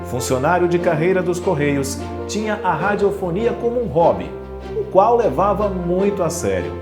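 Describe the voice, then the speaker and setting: A man's voice: high-pitched, very animated, moderate pace. A man with a Brazilian accent reading a scripted documentary narration. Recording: reverberant voice-over over guitar music. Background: guitar music.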